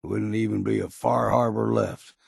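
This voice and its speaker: Game companion role: weathered older island guide, heavy fry and rasp, blunt folksy drawl, wary and gruff. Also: male voice, fairly low pitch, steady tone, moderate pace, darkish tone, dry recording, clean studio sound, exaggerated voice. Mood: steady, watchful. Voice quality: gravelly, raspy. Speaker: companion character in a video game. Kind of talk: video game voice lines.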